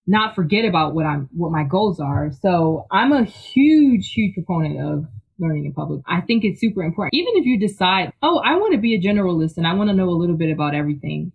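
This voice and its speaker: Husky, chatty guest on lo-fi audio. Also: mid-range voice, very animated, quick pace. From Philadelphia, US. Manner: enthusiastic, chatty, filler-heavy, laughs often. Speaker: guest, technical writer. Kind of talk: casual interview chat.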